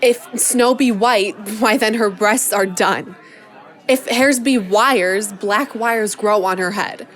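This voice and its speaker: A student actress plays a frank, wry female lover in an audio drama. She delivers the verse slowly at a middle pitch, with faint crowd murmur behind her. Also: natural voice. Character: frank, wry lover describing a plain mistress. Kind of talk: Shakespeare sonnet as dramatic monologue.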